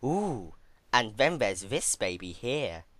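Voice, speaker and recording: A man voicing a sadistic, gleefully unhinged female pony villain in a story, taunting in a mock-sweet, drawn-out way. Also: mid-range voice, sing-song, a bit bright, no echo. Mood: menacing glee.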